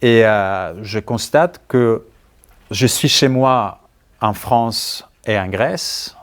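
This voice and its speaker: Man, Greek accent, native Greek speaker, mid-pitched voice, halting, reflective, conversational author interview. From Greece. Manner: halting, searching for words, thoughtful. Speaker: writer being interviewed. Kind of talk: conversational answers at a book talk.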